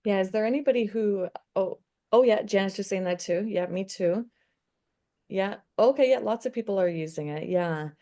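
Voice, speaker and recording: A woman's voice: mid-range voice, moderate pace, warm tone. Chatty woman co-hosting a webinar. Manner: chatty, upbeat, reads audience chat. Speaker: webinar co-presenter. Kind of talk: live webinar talk.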